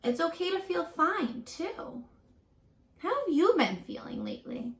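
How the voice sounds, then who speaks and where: A woman teacher reading a picture book aloud to young children, expressive and encouraging, pausing for chatty asides and questions. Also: extremely high pitch, gravelly, a little hiss or hum. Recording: roomy echo.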